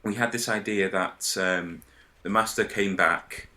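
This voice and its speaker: A man in a casual podcast discussion, explaining his ideas in a hesitant, halting way. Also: sits low, steady tone, bright, forceful tone. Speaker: podcast panellist.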